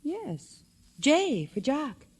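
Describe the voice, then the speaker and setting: An actress playing an eager, loyal detective's assistant in a 1940s radio drama, earnest and gently teasing. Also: female voice, sits high, big pitch swings, very slow, full character voice. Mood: puzzled.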